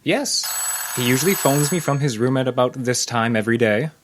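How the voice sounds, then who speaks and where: A man's voice: medium pitch, expressive, a little fast, background noise, close to an everyday voice. A man playing a smug, condescending sanitarium doctor in an old-time radio drama, with an unctuous, theatrical delivery. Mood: wary, smooth. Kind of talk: old-time radio acting before a live audience.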